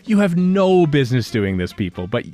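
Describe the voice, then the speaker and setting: A man's voice: medium pitch, big pitch swings, normal speed, dark tone, faint music behind. A man chatting casually as a podcast host, joking and rambling as he interrupts himself. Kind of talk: casual fan podcast chat.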